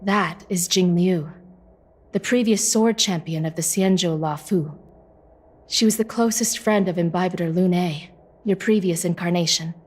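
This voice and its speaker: A woman's voice: on the low side, natural voice. Woman acting a formal, solemn young female officer. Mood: grave, explanatory. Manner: formal, measured storytelling delivery. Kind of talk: video game voice acting.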